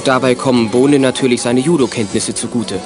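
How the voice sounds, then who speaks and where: A man's voice: medium pitch, a little fast, music in the background, lightly acted. A man narrating a children's detective radio play as its storyteller. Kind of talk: scripted narration.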